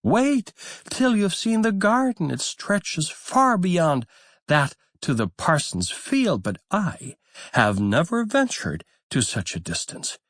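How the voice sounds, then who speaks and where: A man's voice: high-pitched, very animated, takes it slowly, a bit bright, no room sound, clean studio sound, pitched above the performer's own voice, full character voice. A man voicing a weary, proud mother duck from a fairy tale, with a fussy, motherly, exclamatory manner. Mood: knowing, motherly.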